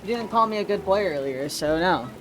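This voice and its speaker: A woman's voice: lowish voice, lively intonation, brisk. A woman hosting a casual livestream chat with playful, crude banter, often repeating herself. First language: English. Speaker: streamer, host. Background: music.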